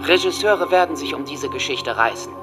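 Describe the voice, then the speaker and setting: An actor playing a hateful, megalomaniac teenage school shooter with intense, seething delivery in a documentary radio drama. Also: male voice, a little high, lively intonation, a little fast, music in the background, natural voice. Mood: smug.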